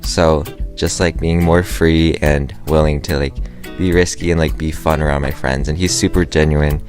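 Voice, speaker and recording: A man with a very deep voice chats casually in an interview, filling his sentences with "like". Guitar music plays softly behind him. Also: steady tone, moderate pace. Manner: chatty, heavy use of "like".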